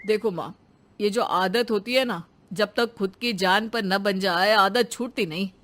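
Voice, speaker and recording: A woman's voice: medium pitch, unhurried, fairly bright tone, natural voice. A woman voicing a knowing, matter-of-fact grown child who advises a parent in a story. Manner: reasoning, advising.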